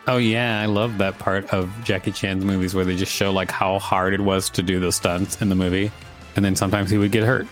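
A man's voice: low-pitched, flat delivery, brisk, soft background music. A man co-hosting a podcast, reading an article aloud and chatting casually. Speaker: podcast co-host.

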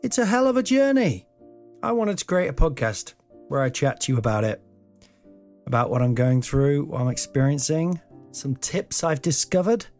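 A man hosting a solo podcast in a room of an old house, slightly croaky, talking in a meandering, self-deprecating way with some swearing, into a mic without a pop shield. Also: on the high side, big pitch swings, moderate pace, a little mellow, soft background music. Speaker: podcast host. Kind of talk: rambling solo podcast monologue. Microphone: home podcast mic without a pop shield.

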